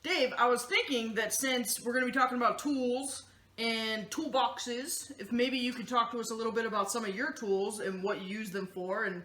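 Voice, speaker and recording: A woman with a fairly high, bright, forceful voice presents a story time for children. She addresses young listeners and reads picture books aloud in a roomy, echoing space. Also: fairly even intonation, normal speed. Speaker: children's story host and reader.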